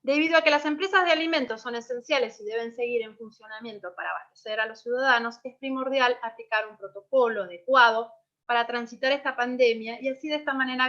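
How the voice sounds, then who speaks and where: A woman's voice: a little high, pushed and bright. A woman presents a webinar. She reads points out as a list, then answers questions in free speech. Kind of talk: online seminar presentation and Q&A. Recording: muffled video-call audio.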